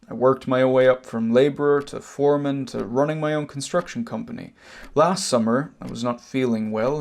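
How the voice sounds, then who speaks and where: A man acts out a wronged Irish brother in an amateur drama. His voice has a gravelly creak at a middling pitch. He lays out old grievances deliberately and pointedly, with bitter restraint. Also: darkish tone, natural voice. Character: wronged older emigrant brother, cancer survivor, measured, bitter. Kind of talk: amateur stage drama acting, long monologue.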